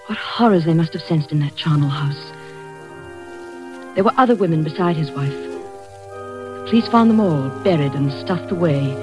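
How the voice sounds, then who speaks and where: An actress playing a frightened governess trapped in the dark, with a tremulous, pleading voice, in old-time radio drama style. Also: female voice, lowish voice, muffled recording, natural voice. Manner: tremulous, pleading, rising to screams.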